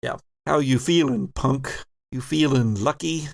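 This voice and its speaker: A man with a mid-pitched voice acts as game master for a tabletop role-playing game. He narrates scenes in vivid, improvised detail, then slips into conversational talk about rules. The sound is dry, without room echo. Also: a little slow, gravelly.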